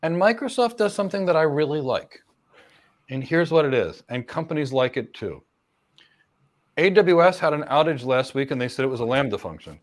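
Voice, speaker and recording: A middle-aged man, a career coach, answering viewer questions in a casual live Q&A, talking confidently in long runs with repeated words and quick asides. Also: fairly high pitch, sing-song. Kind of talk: live Q&A, casual explanatory talk.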